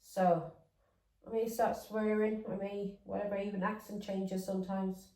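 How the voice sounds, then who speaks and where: A woman's voice: mid-range voice, flat delivery, moderate pace, warm tone, reverberant, distant mic, poor audio. A woman from England with an English accent with Irish touches, giving a casual tarot reading that rambles, repeats words and drifts into chatty asides. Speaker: tarot reader.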